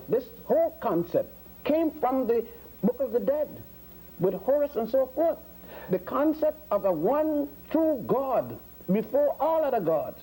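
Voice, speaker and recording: A man's voice: very high-pitched, mellow, breathy edge, low-quality recording. A man in his sixties from Puerto Rico and the Virgin Islands, a guest historian answering interview questions in a lecturing way, piling up facts with many fillers and restarts.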